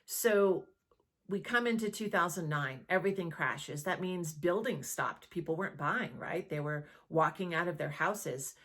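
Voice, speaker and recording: A woman real estate agent talking conversationally to camera, explaining as she goes and often checking in with a quick questioning tag. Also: fairly low pitch, fairly bright tone. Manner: conversational, checks in with rhetorical "right?".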